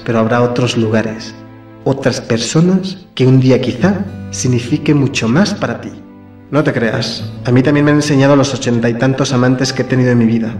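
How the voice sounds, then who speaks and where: A man's voice: medium pitch, darkish tone, close to an everyday voice. A man playing a cheeky, affectionate Madrid friend, reading out his letter in a radio soap. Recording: roomy, somewhat distant, faint music behind.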